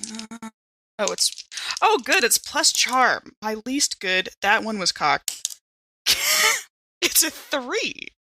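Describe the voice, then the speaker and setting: A woman's voice: on the high side, very animated, slow pace, background noise. A woman chatting casually as a player improvising in a tabletop role-playing game.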